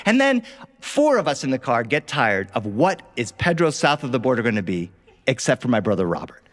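A man telling a first-person story in an animated way, as a storyteller performing live. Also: mid-range voice, fairly quick. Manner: animated, acts out family dialogue.

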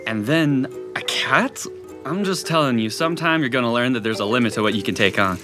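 A man's voice: mid-range voice, fairly quick, over music, natural voice. An actor playing a sarcastic, teasing teenage boy, a loyal best friend, in an audio drama. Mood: mocking. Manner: wry, exaggerated teasing.

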